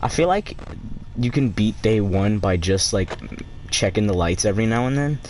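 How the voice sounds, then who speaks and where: A man with a deep voice chatting casually and crudely while streaming a game, rambling and swearing as he plays. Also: lively intonation, normal speed, a little mellow, a little hiss or hum. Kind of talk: casual live-stream chat while gaming.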